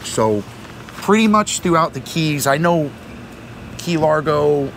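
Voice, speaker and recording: A man's voice: a little high, expressive, takes it slowly, vocal fry, a bit bright. A middle-aged man co-hosting a vlog, talking casually at a marina dock, repeating words and using many fillers. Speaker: vlog co-host. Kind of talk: casual explanatory chat. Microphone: fixed camera at a few meters. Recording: outdoor noise behind.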